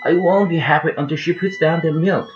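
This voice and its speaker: A man's voice: fairly high pitch, fairly quick, pitched above the performer's own voice, close to an everyday voice. A man voicing a grumpy, jealous pet cat who grumbles in a storybook. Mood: grumbling. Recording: muffled, roomy, music behind.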